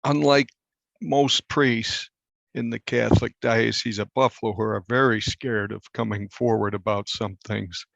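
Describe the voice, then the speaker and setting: An older man, a reporter, recalling a story in a conversational interview with measured, halting phrases. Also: medium pitch, gravelly, fairly bright tone, no echo, lo-fi sound.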